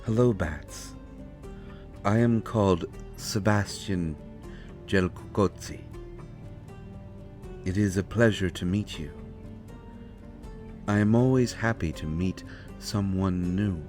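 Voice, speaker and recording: A man voicing an ancient, courteous vampire who speaks with formal, measured, deliberate phrasing in an audio roleplay. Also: deep voice, a little mellow. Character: ancient, courteous, knowing male vampire speaking softly and gravely. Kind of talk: scripted immersive audio roleplay. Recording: roomy echo, faint music behind. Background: faint music.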